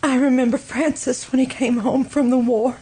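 A woman acting a grieving, fragile widow in an old-time radio drama, speaking in a halting, wistful and sorrowful way. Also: high-pitched, steady tone, warm tone, breathy edge, heavily acted. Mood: wistful grief.

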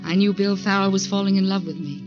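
A woman's voice: mid-range voice, steady tone, quick pace, close to an everyday voice. An actress with an American accent playing an anxious young career woman in a dramatic 1940s radio thriller. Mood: wistful, confiding. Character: anxious young career woman narrating her own haunting. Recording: dull 1940s radio recording with music.

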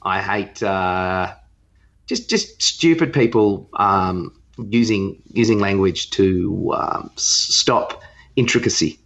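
A man's voice: sits low, slow pace, creaky, a bit bright. A man with an Australian accent co-hosting a podcast, giving casual opinions in a hesitant, rambling way. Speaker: podcast co-host. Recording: slightly dull lo-fi sound.

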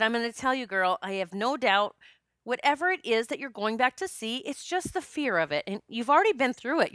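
A woman's voice: on the high side, a bit bright, no echo, clean studio sound. A woman in her fifties, a past life regression practitioner, telling stories in a chatty, joking way. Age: 50s. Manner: chatty, joking, storytelling with quoted dialogue. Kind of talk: casual spiritual monologue.

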